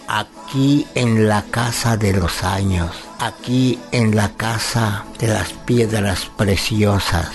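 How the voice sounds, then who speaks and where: A man from Cholula, Mexico, reciting verses in a slow, solemn, chanting way as a keeper of a dance tradition. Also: fairly low pitch.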